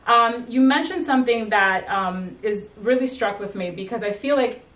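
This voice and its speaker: A woman hosting an interview, speaking in a halting way with many fillers and restarts. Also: fairly high pitch, fairly bright tone, echoey room, distant mic. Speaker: host and magazine editor. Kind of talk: conversational interview.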